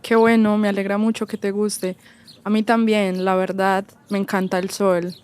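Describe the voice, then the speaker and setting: Woman from Spain, mid pitch, warm, polite, encouraging, casual chat in a park. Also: little pitch movement, moderate pace, clean tone. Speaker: stranger in a park. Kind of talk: friendly casual conversation. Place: outdoors in a park.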